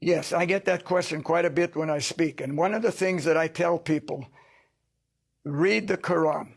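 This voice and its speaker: Older man with a gravelly, high voice. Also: moderate pace. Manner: emphatic, lecturing, rhetorical questions. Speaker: interview guest, sociologist. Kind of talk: interview answers.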